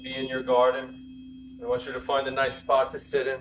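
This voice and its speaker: A man leading a guided meditation, giving slow, soothing instructions and repeating phrases. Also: mid-range voice, monotone, background noise. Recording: roomy and distant with loud music.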